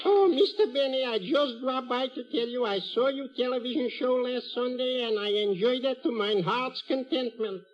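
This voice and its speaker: A very high-pitched, cheerful fellow with a Yiddish accent for radio comedy. He is effusive and singsong, a henpecked husband visiting friends. The vintage recording sounds dull. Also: normal speed, few pauses. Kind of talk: old-time radio comedy acting.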